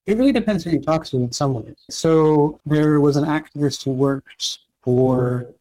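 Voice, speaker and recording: A man answering interview questions about history, measured and explanatory, often checking in with "right?". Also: a little high, mellow, dry recording, rough recording. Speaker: historian guest.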